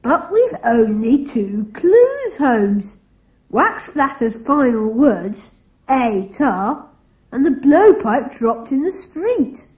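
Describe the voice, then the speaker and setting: A woman's voice: sits high, very animated, slow, darkish tone, highs cut off, heavily acted. A woman playing a hesitant young schoolboy sidekick in a read-along audio drama. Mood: doubtful.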